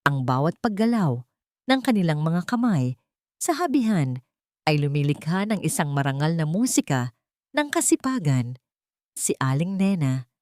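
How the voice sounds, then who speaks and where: A woman with a Filipino accent reading a scripted love story in a measured, sentimental, dramatic narration style. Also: fairly low pitch, darkish tone, no room sound, clean studio sound. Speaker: narrator of Tagalog love stories.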